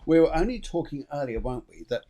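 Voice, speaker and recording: A man's voice: a little high, lively intonation, quick pace, dark tone. A middle-aged man hosting a live online chat show, chatty and rambling, laughing often. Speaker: host.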